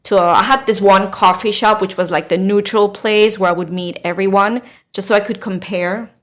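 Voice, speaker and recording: Spanish-accented woman, podcast guest, lively anecdotes. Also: medium pitch, normal speed. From Spain. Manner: animated, laughing storyteller. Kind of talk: casual podcast chat.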